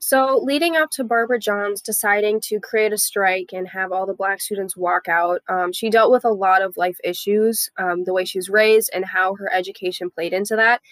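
A woman's voice: medium pitch, fairly even intonation, normal speed, few pauses, a bit bright, no echo. A young woman hosting a history podcast, reading her story in a casual manner, with a stuffy nose from a head cold. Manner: casual, read-aloud storytelling with fillers. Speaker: podcast host. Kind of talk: student history podcast narration.

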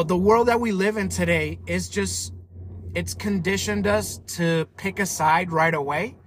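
Slow, earnest higher-voiced man. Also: a bit bright, a little hiss or hum. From Colombia, raised in America. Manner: earnest, repetitive preacherly emphasis. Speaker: podcast host.

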